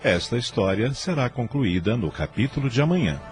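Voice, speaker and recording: A man's voice: medium pitch, big pitch swings, moderate pace, loud music behind the voice. A man narrating a scripted radio drama in a solemn, dramatic storytelling manner, on a noisy radio recording.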